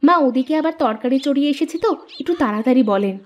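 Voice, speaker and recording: A woman voicing an eager, cunning young daughter-in-law in a fairy-tale cartoon, animated and deferential. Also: sits high, fast, muffled recording, slight background noise. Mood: impatient, polite. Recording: music behind the voice.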